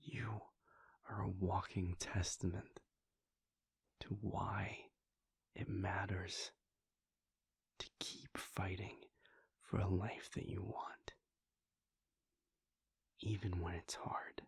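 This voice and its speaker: A man voicing a weary, devoted male vampire prince in a soft, intimate whisper, speaking in slow, halting, heartfelt confessions. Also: sits low, flat delivery, creaky, slightly rough, exaggerated voice. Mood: tender, hushed. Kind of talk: whispered audio roleplay.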